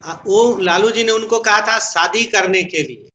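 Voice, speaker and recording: A man's voice: high voice, unhurried, pushed and bright, a little hiss or hum. A man speaking as a political interviewee, explaining and arguing his points and slipping into English phrases. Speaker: political interviewee, party spokesman. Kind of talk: political interview, opinion and anecdote.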